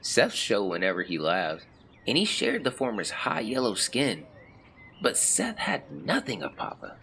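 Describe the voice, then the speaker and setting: An actor playing a melancholy young man who reads out a confessional farewell letter in measured, formal words, as in an audio drama. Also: lowish voice, wildly swooping pitch, pushed and bright, a little hiss or hum, full character voice. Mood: somber, reflective. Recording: clear birdsong and outdoor ambience behind. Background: birdsong, nature ambience.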